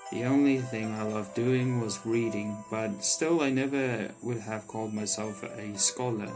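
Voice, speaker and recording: A male gaming YouTuber with a mid-pitched voice works through a visual novel. He reads the text aloud and adds his own casual commentary. His way of talking is informal and slangy. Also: rough recording.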